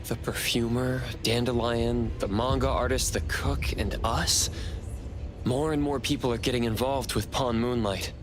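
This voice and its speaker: A man playing a calm, analytical young investigator in an anime-style video game, speaking in a measured, thoughtful way. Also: mid-range voice, over music, close to an everyday voice. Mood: thoughtful, doubtful.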